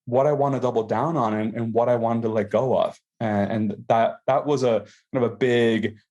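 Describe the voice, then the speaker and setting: A man's voice: mid-range voice, steady tone, normal speed, a little mellow, muffled recording. A young man from Mexico, a podcast guest talking reflectively in a casual conversation. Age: young adult. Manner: reflective, many restarts and fillers. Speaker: guest, food company founder.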